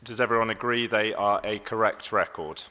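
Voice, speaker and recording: A man chairing a council meeting as mayor, slightly hoarse, formal and measured, with some ums and restarts. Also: fairly low pitch, pushed and bright. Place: large council chamber. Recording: long echo of a large hall.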